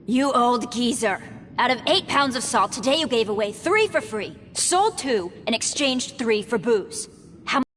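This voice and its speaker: A woman voicing a sharp-tongued female shopkeeper who scolds in an exasperated way. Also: fairly high pitch, lively intonation, unhurried, a little hiss or hum. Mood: scolding. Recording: game audio, reverb and music. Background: game music.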